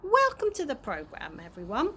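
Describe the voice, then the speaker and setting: A woman's voice: fairly high pitch, huge pitch swings, moderate pace, bright, forceful tone, slight background noise, full character voice. A woman voicing a pompous male television presenter, formal and a little flustered, in a storybook reading. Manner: formal, uncertain.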